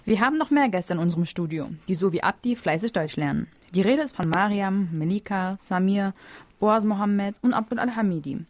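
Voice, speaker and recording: A woman's voice: medium pitch, lively intonation, brisk, no echo. A woman hosting a studio program, introducing guests and interviewing with brief, friendly affirmations. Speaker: radio host. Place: radio studio.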